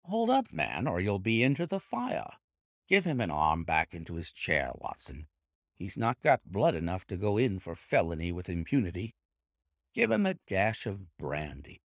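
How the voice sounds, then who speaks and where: A man reading aloud and voicing a calm, stern, commanding male detective in a cool, cutting, measured way. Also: sits low, dry recording, studio quality, heavily acted. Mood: cool, dismissive. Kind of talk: audiobook character voice.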